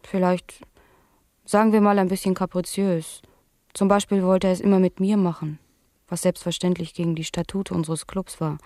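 A woman's voice: fairly low pitch, moderate pace, no room sound, natural voice. Actress as blasé young woman, dry studio sound. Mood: deadpan, clinical. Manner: deadpan, matter-of-fact. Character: blasé young woman, addicted clubgoer giving clinical testimony. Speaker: talk-show guest. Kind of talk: satirical radio play acting.

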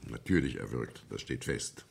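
A man's voice: rumbling low, expressive, normal speed, gravelly, darkish tone, heavily acted. A man playing a curt, authoritative police prefect questioning witnesses in a German radio play. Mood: curt, businesslike. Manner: curt, authoritative, grunting acknowledgements.

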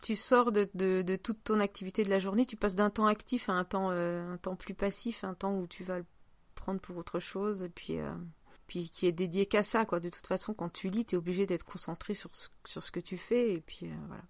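Woman podcast host just chatting, normal mid pitch, really dry sound. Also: fairly even intonation, a little fast, no room sound. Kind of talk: casual chat.

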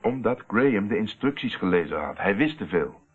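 An actor playing a self-assured private investigator explaining a case in a Dutch radio play, on an old narrow-band recording. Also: male voice, lowish voice, very animated, brisk, husky. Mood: pondering, explanatory.

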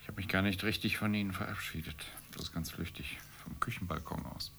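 An actor playing a weary, grumbling journalist husband from middle-class Rome, terse and morose, in a radio play. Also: male voice, low-pitched, a little fast, gravelly, a bit bright, slight background noise. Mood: regretful. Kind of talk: radio play dialogue acting.

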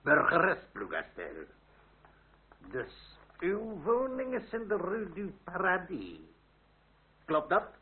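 An actor playing a gruff, suspicious district official of the revolution, curt and sneering, in a period radio drama. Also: male voice, sits high, huge pitch swings, takes it slowly, long pauses, exaggerated voice. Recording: old narrow-band radio recording.

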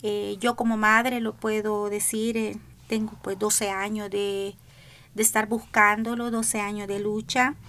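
A woman's voice: mid-range voice, little pitch movement, a little slow, fairly bright tone. A middle-aged woman from El Salvador with a Salvadoran Spanish accent, speaking as the mother of a missing migrant on a radio panel, earnest and pleading. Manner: earnest, pleading, repeats "¿verdad?".

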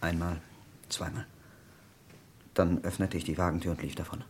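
An actor playing a tormented doctor in a radio crime drama, speaking gravely and dramatically in clipped sentences. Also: male voice, deep voice, flat delivery, moderate pace, darkish tone, slight background noise, close to an everyday voice. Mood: grim, confessing. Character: tormented male doctor insisting on his guilt.

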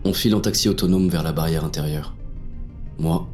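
An actor playing a paranoid, brooding police inspector on the run, narrating a noir audio drama in coarse first person. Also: male voice, rumbling low, brisk, a little mellow, soft background music. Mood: guarded hope. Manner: gritty, coarse, brooding inner monologue.

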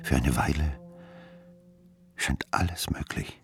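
A man's voice: rumbling low, takes it slowly, gravelly. A man narrating a radio play poetically, dreamy and drifting toward whispers. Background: prominent plucked guitar music.

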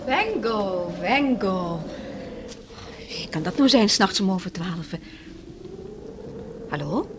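An actress playing an expressive woman in a radio drama, speaking in an exclamatory way. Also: mid-range voice, takes it slowly, long pauses. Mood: puzzled. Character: adult woman, animated, puzzled by late call. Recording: noisy old radio recording.

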